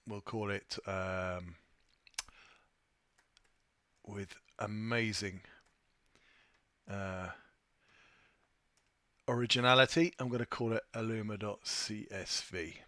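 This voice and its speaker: A man presenting an unscripted software tutorial, thinking aloud with a hesitant delivery as he walks through his screen. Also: fairly low pitch, fairly even intonation, slow, long pauses, no room sound. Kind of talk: unscripted screen-share walkthrough.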